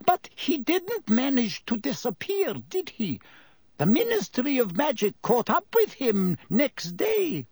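Male narrator as gossipy woman, high voice, inquisitive, opinionated, eager, storybook dialogue. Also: big pitch swings, slow, husky, no room sound, clean studio sound, heavily acted. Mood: satisfied.

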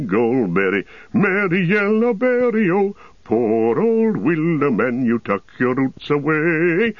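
A jolly old forest man who sings and speaks in bouncing rustic rhymes. His voice sits high and leaps wildly up and down, unhurried and dry. The storybook recording sounds dull. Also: dry recording, full character voice. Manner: sing-song rhyming, rustic old-fashioned speech. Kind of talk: audiobook character voice.

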